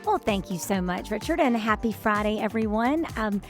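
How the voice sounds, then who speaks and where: A woman's voice: mid-range voice, animated, moderate pace, over loud music. Chatty cheerful woman co-host. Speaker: podcast co-host. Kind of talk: casual interview chat.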